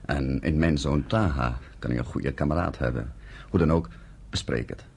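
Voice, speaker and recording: Very low male voice, husky and dark, solemn village headman, earnest, deliberate, radio drama. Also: exaggerated voice. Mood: firm, persuading.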